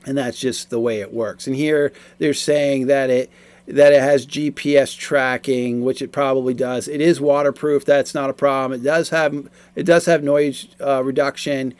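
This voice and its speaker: A man in his forties giving a casual, explanatory product review, talking in a halting, repetitive way. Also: mid-range voice, fairly even intonation, darkish tone, clean tone, dry recording.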